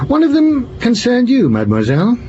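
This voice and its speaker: An actor playing a shrewd, theatrical French police commissioner in an audio drama, pompous and teasing as he deliberates. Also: very high voice, wildly swooping pitch, mellow, heavily acted. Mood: ominous, deliberate. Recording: muffled, with background noise.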